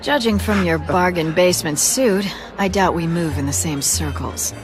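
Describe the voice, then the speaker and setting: An actress playing a sly, aloof young socialite in a video game, with a dry, teasing, haughty delivery. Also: female voice, on the low side, animated, moderate pace, over music, close to an everyday voice. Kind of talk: video game cutscene acting.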